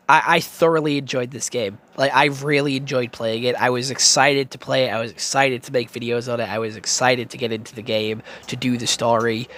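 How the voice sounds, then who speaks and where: A young British man giving a casual, excitable game review, swearing constantly and stumbling over restarts and fillers. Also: medium pitch. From United Kingdom.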